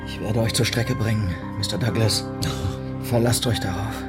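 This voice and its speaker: A man plays an earnest frontier lawman in a radio western. His voice is on the low side, sober and determined, with terse, report-like phrasing over music. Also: steady tone, moderate pace, natural voice. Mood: firm, controlled. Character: dutiful, earnest male police sergeant in 1890s frontier America. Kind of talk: German radio western acting and narration.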